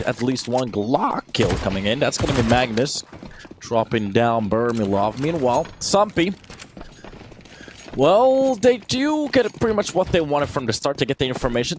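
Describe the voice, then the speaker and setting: A man in his thirties, raised in Finland, giving lively solo play-by-play on a shooter match and chatting with viewers between rounds. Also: medium pitch, slight background noise. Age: 30s. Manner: energetic, chatty, answers viewer questions between plays. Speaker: esports caster. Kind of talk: solo play-by-play commentary with chat replies.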